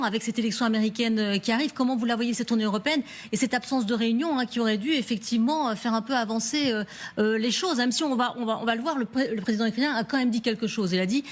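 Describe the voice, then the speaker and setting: Fast-talking woman hosting a news panel. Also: on the high side. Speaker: host. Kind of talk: live news panel moderation.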